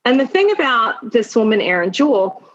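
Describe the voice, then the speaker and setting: A woman speaking as an interview guest in a conversational, informal way, thinking aloud in halting phrases with many asides. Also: a little high, sing-song. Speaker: podcast guest, attorney and executive. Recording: dull lo-fi sound.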